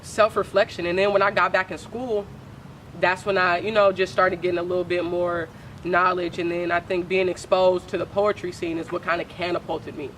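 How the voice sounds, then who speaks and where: A young woman talks in a casual interview, candid and loose with slang and profanity. Her voice is deep for a woman but carries a bright, tense edge. She speaks as a poet guest. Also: level tone, moderate pace, a little hiss or hum, poor audio. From Oakland, California.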